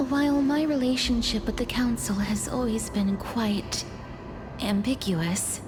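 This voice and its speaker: A woman voice-acting a gentle, solemn young woman in a video game, speaking softly in measured, formal phrases with a breathy edge. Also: on the high side. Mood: soft, pensive. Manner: soft, measured, formal and polite. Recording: game music behind the voice.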